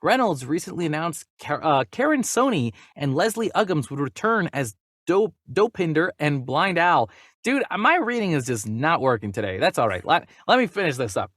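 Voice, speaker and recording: A man hosting a casual movie news chat, jokey and animated, sometimes stumbling as he reads. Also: on the high side, moderate pace, dry recording, clean studio sound. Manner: jokey, animated, stumbles over names when reading. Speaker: podcast host reading and discussing movie news.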